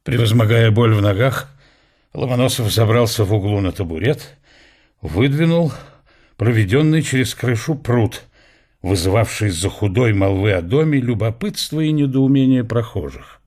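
A man narrating a literary radio drama in an expressive scripted reading. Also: on the low side, moderate pace.

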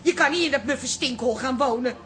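An actress playing a fretful, soft-hearted middle-aged daughter in a radio drama, effusive and pleading. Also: female voice, sits high, fairly quick, lightly acted.

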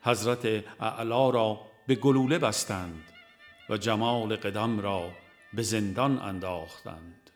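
A man reading a religious audiobook in a measured, formal way, as scripted narration. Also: lowish voice.

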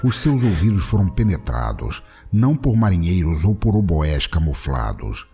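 Deep-voiced Brazilian man narrating over music. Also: big pitch swings, normal speed, mellow, slightly rough. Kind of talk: scripted comic story narration.

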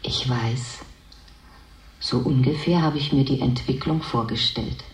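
An all-knowing female computer in a science-fiction radio play. The voice is slow and echoing, calm and matter-of-fact. Phrases are measured out and sometimes repeated with corrections. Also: extremely low pitch. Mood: calm, detached. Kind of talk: radio drama acting.

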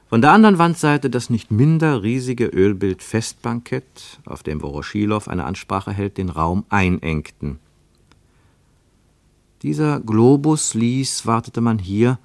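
Wry radio-play narrator, lower register, measured and precise, very dry studio sound. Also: male voice, no room sound. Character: literary narrator of a Cold War journey, wry, measured. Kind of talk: scripted literary narration, German radio play.

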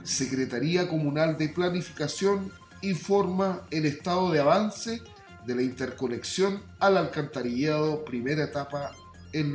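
A Chilean man reads a scripted radio bulletin as its presenter. His voice sits a little high, and it rings with clear room echo over a music bed.

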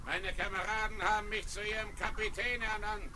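An actor playing a pirate captain who calls out and bargains in a 1970s radio play, recorded on old vinyl. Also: male voice, high voice, level tone, normal speed, background noise, lightly acted. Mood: bargaining. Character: pirate captain calling out from outside the stockade.

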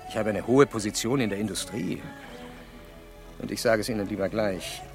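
A status-conscious businessman in a radio play, his voice low and creaky. He talks briskly and formally, sure of his own importance. Music plays clearly behind him. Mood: self-assured, defensive. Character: self-assured, status-conscious industrial executive with delicate secrets.

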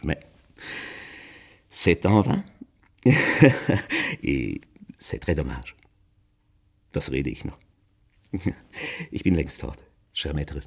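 An actor playing an urbane, garrulous, flattering impresario with a husky voice, in a theatrical radio drama monologue. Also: male voice, very deep voice, exaggerated voice. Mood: wistful, courtly. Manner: theatrical, effusive, peppering speech with French phrases.

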